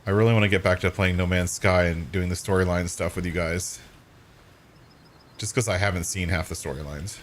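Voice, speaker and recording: Hoarse low-voiced gaming streamer. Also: male voice, a little fast, slight background noise. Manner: rambling, self-correcting, relaxed. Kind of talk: casual live-stream chat while playing.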